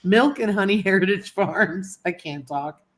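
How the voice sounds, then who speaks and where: A woman's voice: medium pitch, unhurried. A woman hosting a casual livestream, chatting with viewers by name and a little sniffly from a cold. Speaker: livestream host. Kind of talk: casual live chat greeting viewers.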